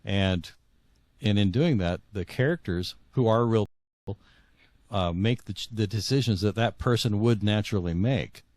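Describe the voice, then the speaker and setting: A middle-aged or older man with a low-pitched voice, captured close and dry. He talks as a guest in a reflective conversation, circling back over words as he looks for the right phrase. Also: a little mellow, no echo. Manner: halting, repeats words while searching for phrasing.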